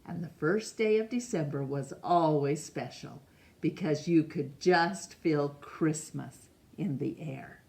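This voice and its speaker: A middle-aged woman reading a picture book aloud in a measured, expressive way for children. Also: deep voice, creaky. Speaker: storytime reader. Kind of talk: read-aloud storybook narration. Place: small room indoors.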